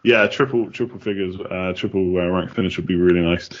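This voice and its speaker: A man's voice: deep voice, a little fast, highs cut off. A man from the United Kingdom answering casually as a podcast guest, chatty with many ums.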